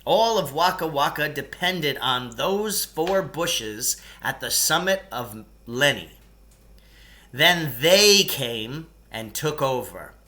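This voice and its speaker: Man acting a pompous, bright-voiced storybook raconteur. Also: mid-range voice. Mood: solemn, ominous. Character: long-winded, nostalgic storyteller man devoted to tea-like ritual. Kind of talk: children's novel read aloud in character.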